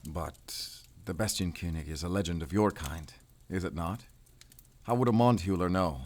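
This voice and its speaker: A man playing a grim, determined werewolf hunter in an audio drama, measured, brooding and terse. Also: low-pitched, darkish tone. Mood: probing. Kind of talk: cinematic audio drama acting.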